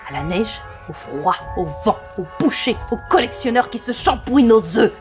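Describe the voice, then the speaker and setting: Actress as a high-voiced, menacing, worn-out woman. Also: big pitch swings, normal speed. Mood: weary resolve. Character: exhausted, menacing woman holidaymaker, half-crazed after a night lost. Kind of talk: comedy audio drama acting in French. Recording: music bed behind the voice.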